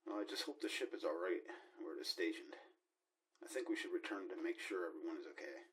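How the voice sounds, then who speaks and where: A man playing a commanding, impatient spaceship mission leader in an amateur audio drama, speaking in a measured, deliberate way. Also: low voice, a bit bright. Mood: concerned.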